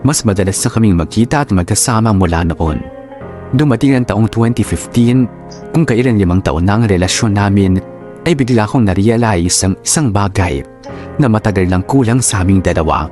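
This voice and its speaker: Low-voiced male story narrator. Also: animated, normal speed, darkish tone, faint music behind.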